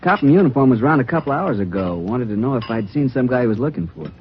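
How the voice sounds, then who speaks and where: A man's voice: mid-range voice, animated, fast talker, slight background noise, natural voice. An actor playing a chatty, nervous male donut shop counterman who rambles and repeats himself, in a 1950s radio drama. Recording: dull 1950s radio broadcast.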